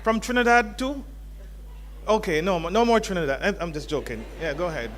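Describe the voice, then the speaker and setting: A fairly high-voiced man speaks to new students into a microphone in a lecture hall. He is informal and jokes with the crowd, with a faint hum in the background. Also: expressive, brisk, a bit bright. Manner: informal, joking with the audience. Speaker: faculty dean. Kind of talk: welcome address. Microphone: handheld microphone.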